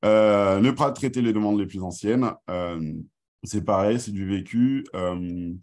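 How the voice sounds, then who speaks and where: Deep-voiced man presenting, halting delivery. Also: a little mellow. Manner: hesitant, halting delivery with many filled pauses. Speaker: workshop presenter. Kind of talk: informal business presentation.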